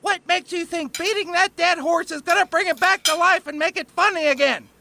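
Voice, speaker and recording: Feisty, sarcastic kid for comedy audio drama: very high, piercingly bright, theatrically fed up. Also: male voice, a little fast, gravelly, slight background noise, full character voice. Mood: exasperated. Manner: wordy, theatrical complaining. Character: exasperated, sarcastic, feisty youngster of the family.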